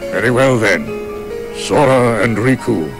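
An actor playing a stern, solemn old wizard master in a video game, speaking gravely and formally. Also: male voice, medium pitch, animated, a little slow, music in the background. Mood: solemn, instructive. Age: older. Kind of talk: video game cutscene acting, expository.

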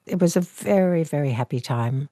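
A woman in her sixties with an Australian accent, an actor recalling her family history in a measured, reflective way. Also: sits low, expressive, dry recording, studio quality. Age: 60s. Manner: thoughtful, measured, gentle. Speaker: actor and author guest. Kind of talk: reflective interview storytelling. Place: living room, seated on a sofa.

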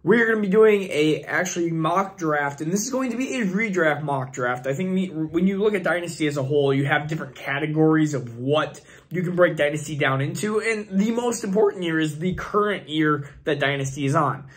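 Hoarse man thinking aloud. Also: a little high, brisk. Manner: rambling, thinking aloud with many fillers. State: hoarse, losing his voice. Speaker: fantasy football channel host. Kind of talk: casual screen-share commentary.

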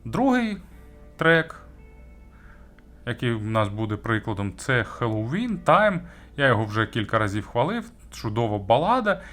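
A male reviewer talks through gear in a conversational way at a middling pitch, with music playing faintly behind him. He pauses to search for words, draws some out and pushes others up for emphasis. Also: very animated, a bit bright. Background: background music.

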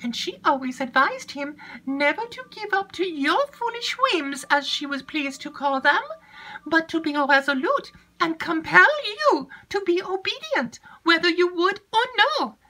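A woman reading an audiobook and voicing a chattering, gossipy young maid with breathless, drawn-out emphasis. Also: very high voice, lively intonation, bright, forceful tone, pitched above the performer's own voice, heavily acted, rough recording.

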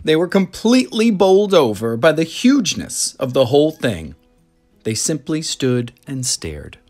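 An expressive narrator reads a children's story aloud. The delivery is dramatic, with lively swings in pitch, and music plays underneath. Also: measured pace.

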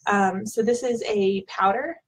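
A woman in her thirties, a bit hoarse from illness, chatting casually at her webcam in a small home office while unboxing products, with plenty of ums. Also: mid-range voice, unhurried. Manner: chatty, rambling, with frequent ums. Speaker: product vlogger. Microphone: webcam at desk.

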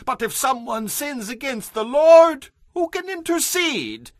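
A man's voice: very high voice, sing-song, measured pace, no echo, studio quality, heavily acted. An actor playing a very old, nearly blind priest and father, admonishing in a dramatized audio Bible. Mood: anguished reproach.

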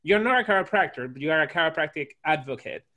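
A man in his thirties with a Spanish-speaking accent hosts an interview over a headset from an office. His voice sits a little high, and he restarts his phrases, repeating words as he works his way through a question. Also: animated, fast talker, bright, forceful tone. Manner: friendly, repeats words as he thinks. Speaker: host. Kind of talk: casual interview chat. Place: office with banner. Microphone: headset on video call.

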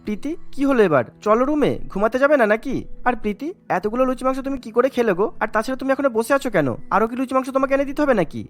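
A man's voice: extremely high pitch, rapid-fire, soft background music. A man voicing an exasperated young husband who nags his wife in a cartoon. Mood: impatient. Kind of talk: Bengali cartoon voice acting.

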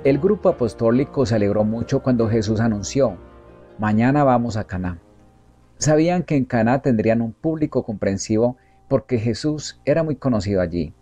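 A man reads a religious text aloud in a slow, solemn, evenly paced way. His voice is mellow and dark at a middle pitch. Faint music plays softly behind him. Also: lively intonation. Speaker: reader. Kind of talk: measured scripted book reading.

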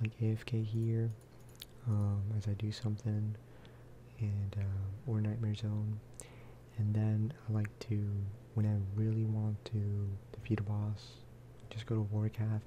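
A man talks softly through a video game in a relaxed ASMR style. His voice sits a little low and barely moves in pitch as he rambles casually. There is clear noise behind him, with clicking. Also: moderate pace. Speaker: gaming video creator. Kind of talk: soft-spoken ASMR game commentary.

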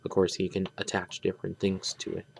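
A man giving an unscripted hands-on review, talking in a halting, rambling way and often trailing off. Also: low-pitched, monotone, vocal fry, slight background noise. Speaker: toy reviewer. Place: indoors at a tabletop.

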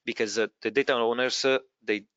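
A man presenting a research project in a webinar, speaking hesitantly and haltingly with repeated words. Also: fairly even intonation, fairly bright tone, no room sound. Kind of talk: webinar presentation over slides. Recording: dull, muffled low-fidelity webinar audio.

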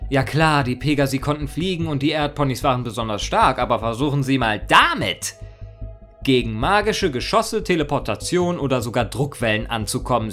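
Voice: mid-range voice, fairly bright tone. A performer voicing an immortal, mischievous chaos creature in a theatrical fan audio monologue, mock-formal, sardonic and playfully rambling. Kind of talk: theatrical first-person character monologue in a fan audio story.

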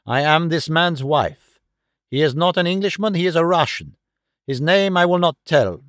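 A man's voice: a little high, animated, normal speed, a bit bright, no echo, studio quality. Man voicing a dignified, disdainful foreign woman. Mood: earnest confession.

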